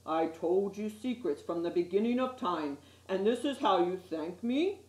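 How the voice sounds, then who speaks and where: A woman voicing a huge, wise old male fish from a children's storybook, speaking low and slowly in a solemn, soothing way. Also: animated, a little mellow, close to an everyday voice. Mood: wounded, reproachful. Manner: slow, solemn, deliberate delivery. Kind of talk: children's storybook read aloud. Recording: roomy, echoey sound.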